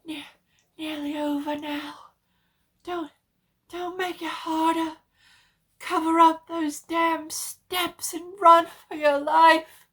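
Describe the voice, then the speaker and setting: A woman voicing a doomed man in a horror story, urgent and despairing, shouting warnings over a telephone. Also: very high voice, level tone, takes it slowly, pauses often, voice pushed up, full character voice. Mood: urgent, resigned. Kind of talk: dramatic horror story reading.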